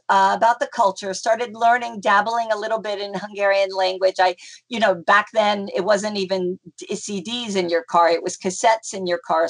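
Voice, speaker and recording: A middle-aged woman chats as an interview guest, with an ordinary mid-range voice. She rambles, restarts her sentences and leans on fillers as she talks. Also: mid-range voice. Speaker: interview guest, folk dancer. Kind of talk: casual conversational interview.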